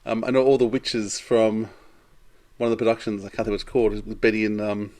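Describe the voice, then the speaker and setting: A man co-hosting a podcast in casual conversation, thinking aloud in a halting way. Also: fairly low pitch, little pitch movement, darkish tone. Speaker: podcast co-host.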